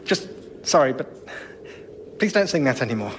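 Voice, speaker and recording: An actor playing a genteel, drily pompous eccentric in radio sketch comedy. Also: male voice, medium pitch, sing-song, moderate pace, noisy background, natural voice. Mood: diffident.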